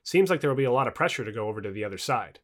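A man's voice: medium pitch, animated, very fast, close to an everyday voice. A man voicing a skeptical, sarcastic present-day woman in an audio book dialogue. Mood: wry. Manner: wry, sarcastic, questioning.

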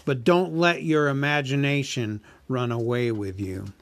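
A man voicing a huge, cunning male dragon who talks in a slow, sneering, drawn-out way. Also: medium pitch, steady tone, no echo, close to an everyday voice. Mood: scoffing.

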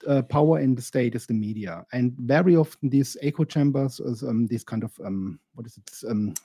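Husky mellow-voiced podcast man. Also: medium pitch, moderate pace, no room sound. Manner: searching for words, animated and emphatic. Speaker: podcast co-host. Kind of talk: casual podcast discussion.

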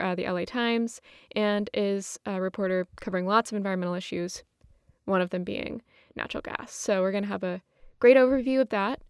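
A woman's voice: mid-range voice, smooth, no room sound, clean studio sound. A woman co-hosting a podcast in a casual, conversational style. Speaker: podcast host.

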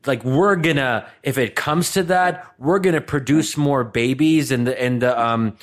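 A man's voice: mid-range voice, measured pace. Podcast cohost guy telling a story, rambly, lots of ums, medium voice. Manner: rambling, many fillers, storytelling. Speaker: podcast co-host. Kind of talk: casual discussion.

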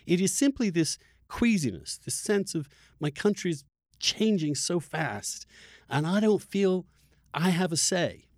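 Halting, reflective man in dry sound. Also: sits high, expressive, no room sound. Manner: halting, repeats words, personal and reflective. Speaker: podcast host. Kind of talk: conversational political discussion.

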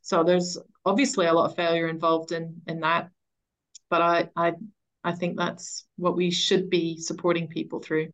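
A woman's voice: lowish voice, flat delivery, moderate pace, poor audio. A middle-aged woman speaking as an interview guest, explaining her work in a conversational way. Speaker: interview guest, education coach.

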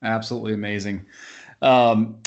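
A man hosting a casual podcast interview, asking questions with quick upbeat reactions. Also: fairly low pitch, steady tone, highs cut off. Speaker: podcast host.

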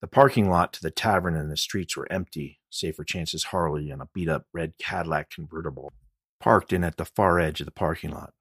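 Low-pitched man narrating a mystery audiobook. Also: a little fast, slightly rough, no room sound, studio quality. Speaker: author reading his own audiobook.

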